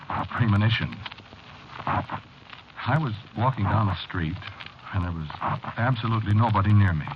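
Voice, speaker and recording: A man plays a haunted, nervous fellow confiding in halting phrases. His voice sits low, in the style of a 1940s radio play. The recording is muffled and clearly noisy, like an old broadcast. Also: close to an everyday voice. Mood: troubled, halting, then agitated, fearful. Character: anxious, haunted man named Sebastian confessing a premonition. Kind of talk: 1940s radio drama monologue acting.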